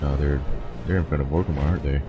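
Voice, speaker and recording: A man's voice: a bass voice, fairly even intonation, fast, music in the background. A man hosting a reaction video with casual, off-the-cuff commentary. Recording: dull lo-fi close dry mic.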